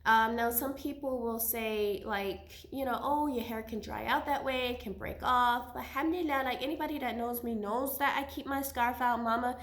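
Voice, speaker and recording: A woman's voice: a little high, moderate pace, hardly any pauses, fairly bright tone. Chatty woman recovering from a cold. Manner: chatty, friendly, sprinkles religious phrases. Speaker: hijab seller and vlogger. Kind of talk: casual hair care vlog. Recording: echoey room.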